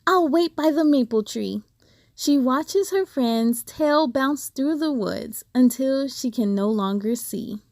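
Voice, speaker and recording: A woman hosting a children's read-aloud, speaking in an expressive, deliberate, sing-song way to young listeners. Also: fairly high pitch, no room sound, clean studio sound. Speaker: host of a read-aloud story series. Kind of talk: expressive children's storybook reading.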